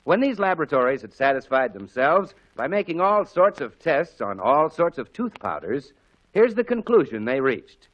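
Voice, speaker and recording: A male announcer on old-time radio delivers scripted lines with polish and emphasis. His fairly high voice is very lively, heard through dull, lo-fi sound. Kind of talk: 1940s radio advertising and scripted narration. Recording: dull 1940s radio broadcast with music.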